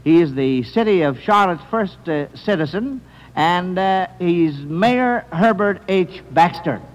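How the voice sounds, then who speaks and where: A man's voice: high voice, expressive, takes it slowly, a little hiss or hum. A man hosting a 1940s radio quiz, speaking to children in a folksy, paternal manner. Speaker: quiz show host. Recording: reverberant hall, vintage radio broadcast.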